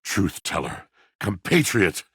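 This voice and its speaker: An actor playing a brooding, lovelorn, poetic washing machine in a video game, speaking in florid, grandiose, theatrical phrases with a gravelly, husky voice. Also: male voice, low voice, huge pitch swings, takes it slowly, fairly bright tone, no room sound, studio quality, exaggerated voice. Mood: poetic, devoted.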